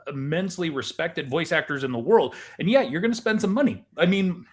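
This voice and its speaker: A man's voice: a little high, lively intonation, a little fast. A man talking casually as the co-host of a chat podcast.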